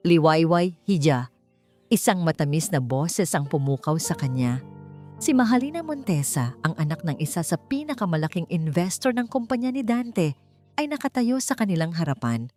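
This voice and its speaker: Low-voiced Filipino woman narrating over music. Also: sing-song, normal speed, dark tone. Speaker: audiobook narrator. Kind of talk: dramatic scripted narration of a romance drama story. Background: background music.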